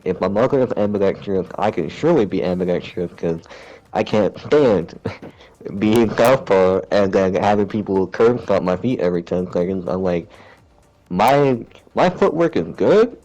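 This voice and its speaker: A soft-spoken man with a deep, gravelly voice, chatting in a rambling, hesitant way full of fillers and restarts. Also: lively intonation, normal speed, lo-fi sound. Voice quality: deep, gravelly voice kept raised. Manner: soft-spoken, self-described shy. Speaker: gamer chatting on stream. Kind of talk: casual chat.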